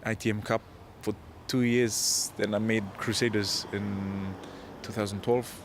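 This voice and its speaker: A young man with a Fijian accent and a fairly low voice gives casual interview answers as an athlete. He talks haltingly and modestly, feeling his way through each reply. There is some background noise, like outdoor ambience with traffic. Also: flat delivery. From Fiji. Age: 20s. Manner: hesitant, modest, halting delivery. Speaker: professional rugby player being interviewed.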